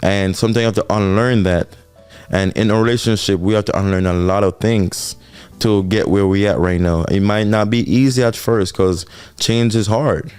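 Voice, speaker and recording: A Jamaican man with a fairly low voice talks through relationship lessons in a casual podcast chat. He sounds earnest and reflective, circling back over his points, while faint music plays underneath. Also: fairly even intonation, normal speed, darkish tone, clear, resonant tone. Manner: earnest, reflective, repeats himself for emphasis. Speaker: podcast co-host. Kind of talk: casual couple podcast talk.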